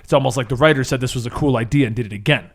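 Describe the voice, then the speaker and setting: A man's voice: mid-range voice, very fast, slightly rough. A man acting as game master, narrating a scripted superhero campaign recap with casual banter.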